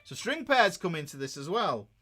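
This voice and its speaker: Male guitar tutor, higher-pitched, chatty and instructional, checking in often, with prominent guitar in the background. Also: big pitch swings, moderate pace. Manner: conversational, checks in with listeners. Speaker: guitar teacher. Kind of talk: informal instructional talk with demonstrations.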